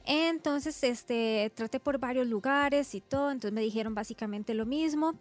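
A woman's voice: high voice, normal speed. A woman with a Costa Rican accent talks conversationally as a radio interview guest, explaining things and often checking back with small tags. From San José, Costa Rica. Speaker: interview guest, resident doctor.

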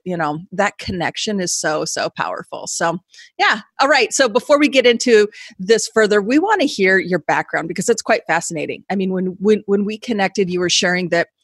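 A woman's voice: mid-range voice, dry recording, studio quality. A woman hosting a casual podcast interview, upbeat and chatty with lots of fillers. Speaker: podcast host.

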